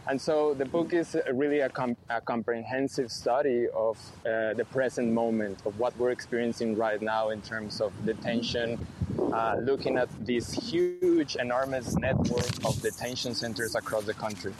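A man's voice: mid-range voice, fairly even intonation, moderate pace. A man from Mexico hosting a live radio conversation unscripted, repeating phrases as he searches for words. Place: outdoors, outside a detention center. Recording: faint outdoor traffic noise.

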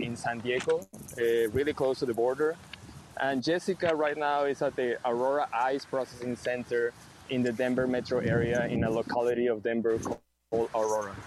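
A man from Mexico presents an unscripted radio conversation from outdoors. His pitch is average and he often restarts phrases while searching for words. Light street noise sits behind him. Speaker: visual artist hosting a radio conversation. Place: outdoors, outside a detention center. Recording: faint outdoor traffic noise.

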